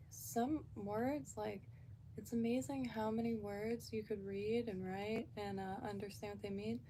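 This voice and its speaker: A woman from Florida in her thirties, speaking, rambles through a casual live stream, full of fillers and restarts. Her voice sits higher than most and has a mellow, warm color. A faint hiss of noise runs under the recording.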